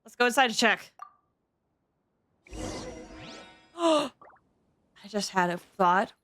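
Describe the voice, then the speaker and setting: A woman's voice: on the high side, very slow. A woman streaming a game with casual, exclamatory commentary, often musing aloud. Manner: animated, exclamatory, muses aloud. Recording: faint game music behind.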